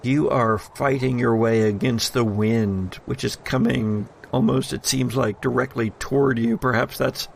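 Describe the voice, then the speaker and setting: Guy game mastering a tabletop rpg, slow and creaky voice, deliberate, bit of background noise. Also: medium pitch, fairly even intonation. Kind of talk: narrating and refereeing a tabletop roleplaying game.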